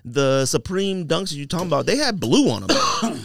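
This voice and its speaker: A man's voice: on the high side, big pitch swings, normal speed, gravelly, noisy background. A man co-hosting a casual podcast, talking in slangy, emphatic bursts and repeating phrases to drive a point home. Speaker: podcast host. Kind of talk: casual sneaker podcast banter.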